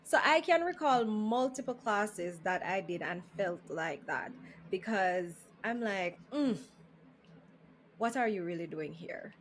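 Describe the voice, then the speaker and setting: A woman's voice: mid-range voice, lively intonation, takes it slowly, slight background noise, poor audio. A Jamaican woman hosting a podcast, asking questions in a chatty way, laughing easily and mixing in Creole. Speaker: podcast host, nurse. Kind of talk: casual podcast interview.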